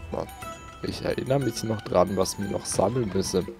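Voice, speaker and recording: A man's voice: fairly low pitch, moderate pace. A man giving casual gameplay commentary. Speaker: let's player. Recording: game music faintly behind. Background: faint video game music.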